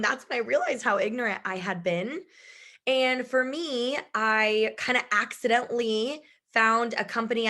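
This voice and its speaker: A woman in her thirties giving a chatty video call presentation from home, her voice strained from shouting, laughing often. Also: a little high. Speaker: business presenter.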